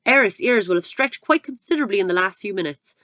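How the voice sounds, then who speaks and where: A woman voicing a huge, cruel headmistress who barks her words with loud scorn and contempt. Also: lively intonation, quick pace, no echo. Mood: gloating. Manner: barking, booming and contemptuous.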